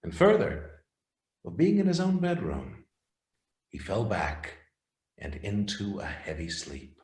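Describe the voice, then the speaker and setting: A man's voice: lowish voice, wildly swooping pitch, takes it slowly, a little mellow. A man reading scripted storybook narration as the narrator of a radio play. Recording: roomy, echoing sound.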